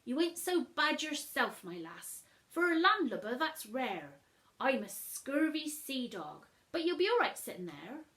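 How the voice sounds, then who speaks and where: Woman doing a lively high-pitched pirate boy. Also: a little slow, exaggerated voice. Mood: friendly, cheeky. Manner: nautical pirate slang. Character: cheerful young pirate boy, boastful and friendly.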